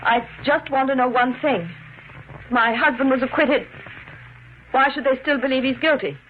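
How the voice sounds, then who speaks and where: An actress playing an anxious, devoted newlywed wife, polite but resolute, in 1950s radio mystery style. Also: female voice, high voice, normal speed, phone-quality sound, natural voice. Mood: strained composure. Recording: noisy, band-limited old radio recording.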